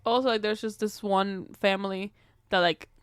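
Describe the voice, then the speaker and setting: A woman's voice: medium pitch, fairly even intonation, moderate pace, clean tone, dry recording, clean studio sound. A young woman co-hosting a podcast, chatting casually about a film in blunt, profane slang. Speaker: podcast co-host. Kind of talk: casual movie review chat.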